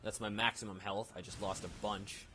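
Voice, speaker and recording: Male gamer, lowish voice, casual rambling let's play commentary, unsure and self-correcting, very noisy home recording. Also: level tone, brisk. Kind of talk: casual off-the-cuff game commentary.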